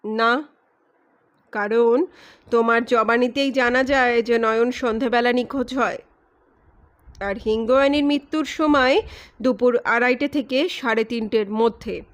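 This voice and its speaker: A sardonic male private detective in an audio mystery, voiced by a woman, pitched high. He speaks with deliberate authority, accusing and giving orders. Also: fairly even intonation, lightly acted. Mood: measured, accusing.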